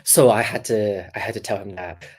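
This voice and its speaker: A woman voice coach talking casually on a video call, animated and demonstrative, with many fillers and restarts. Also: rumbling low, moderate pace. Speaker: voice coach guest. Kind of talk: casual interview, teaching.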